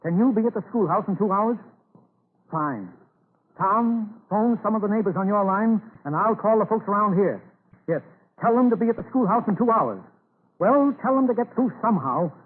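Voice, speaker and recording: Resolute rural family man, high-pitched, plain-spoken and rousing, on narrow-band vintage radio. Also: animated, normal speed, husky. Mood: urgent, resolute. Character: determined farmer rallying his neighbours, earnest family man. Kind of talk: 1940s radio acting. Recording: scratchy old radio broadcast, narrow band, hissy.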